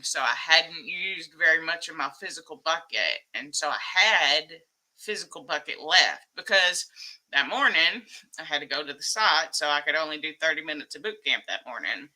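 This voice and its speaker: A woman hosting a casual live stream, a little hoarse from losing her voice, rambling and laughing often as she greets her chat. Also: deep voice. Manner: excitable, reading chat names aloud.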